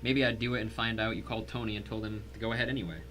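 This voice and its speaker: Man playing a fast, curt, defiant tennis pro. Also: on the low side, little pitch movement, fairly bright tone, slight background noise, lightly acted. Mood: wary defiance. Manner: curt, bitter. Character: defiant, blunt tennis pro, cornered man in his thirties. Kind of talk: dramatic audio story acting.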